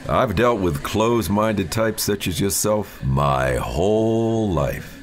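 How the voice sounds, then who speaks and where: A smug, insistent self-styled psychic acted by a man from an audio horror story. His voice sits low but leaps around with theatrical emphasis, condescending in every phrase. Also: animated, slow pace.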